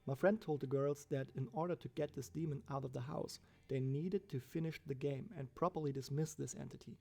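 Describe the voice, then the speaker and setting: A man reading a scripted first-person horror story as its narrator, with a gravelly voice. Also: mid-range voice, a little fast, warm tone, soft background music.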